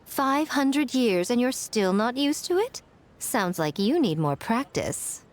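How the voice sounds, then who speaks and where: A woman playing a sly, elegant, centuries-old fox yokai in a video game, teasing and theatrical in a measured way. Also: on the high side, very animated, slight background noise.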